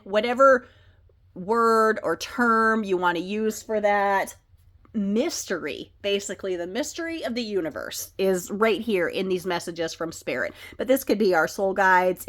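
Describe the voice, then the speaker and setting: A woman reading oracle card messages, gentle and earnest, her voice a bit scratchy from a cold. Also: medium pitch. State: slightly scratchy, recovering from a cold.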